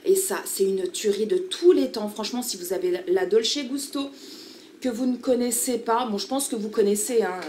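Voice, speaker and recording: A woman's voice: mid-range voice, normal speed, a little mellow, a little hiss or hum. A woman in her thirties with a French accent, chatting casually to her viewers as she shows her small living room, rambling with lots of fillers. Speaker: vlogger. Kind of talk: casual home vlog chat.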